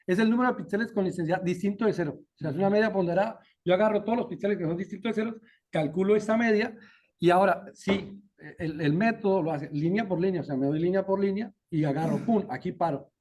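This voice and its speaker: Colombian man presenting research, relaxed fillers. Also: sits high, fast. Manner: relaxed, frequent "¿no?" tags and "eh" fillers. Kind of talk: academic talk and Q&A.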